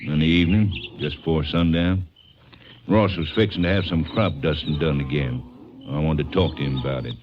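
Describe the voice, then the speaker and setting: A sour cattleman in a golden age radio play. He has a deep voice and a Texan drawl, speaking in short, gruff, guarded phrases. The aged recording is muffled with faint noise. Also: a little fast. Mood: defensive, curt. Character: hard, sour Texas rancher, gruff and defensive. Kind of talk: mid-century American radio drama acting.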